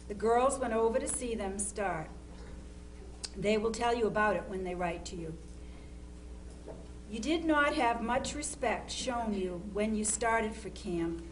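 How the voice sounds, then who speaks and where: Woman reading as a slow-spoken, loving mother. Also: mid-range voice, close to an everyday voice, poor audio. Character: loving, gently worried mother writing to her soldier son. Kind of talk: dramatic reading of Civil War letters. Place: live historical society presentation. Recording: faint hum and background noise.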